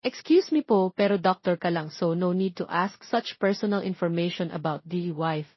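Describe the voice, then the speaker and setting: Woman as husky, flirty Filipino husband. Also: lowish voice, normal speed, no room sound, dull audio, natural voice. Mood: jealous, blunt. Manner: blunt, teasing, mixes English and Tagalog. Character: smooth, confident, jealous male romantic lead, flirty.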